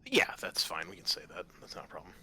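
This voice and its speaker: A man acting as game master of a tabletop roleplaying game, talking in a halting, conversational and accommodating way. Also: low voice, huge pitch swings, sharp tone, slight background noise.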